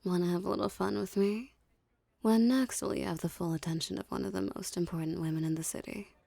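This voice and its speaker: A dominant female mafia boss, played by a woman for an ASMR roleplay, speaking in a mellow, creaky voice recorded close and dry. She teases and condescends softly, with seductive, menacing confidence. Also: mid-range voice, steady tone, no echo. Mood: coaxing, self-assured. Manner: slow, teasing, condescending drawl.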